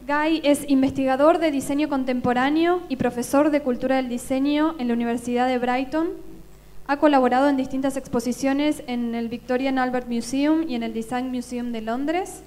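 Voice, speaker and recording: A woman's voice: high-pitched, fairly even intonation, a little mellow. A woman, moderating a conference and reading out the next speaker's introduction. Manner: brisk, reads a biography aloud.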